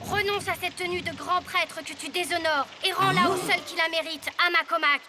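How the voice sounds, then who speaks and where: A woman's voice: very high voice, fairly even intonation, a little fast, very noisy. A woman voicing a plucky, kind young boy hero in a cartoon, earnest and determined. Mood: bold. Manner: earnest, eager. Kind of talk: French cartoon voice acting. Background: faint music.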